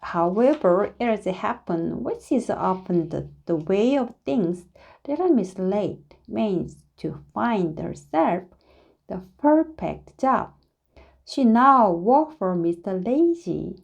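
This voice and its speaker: Woman, mellow tone, lively rising and falling pitch, children's storybook read-aloud, deliberate dramatic phrasing. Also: creaky. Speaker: storybook reader.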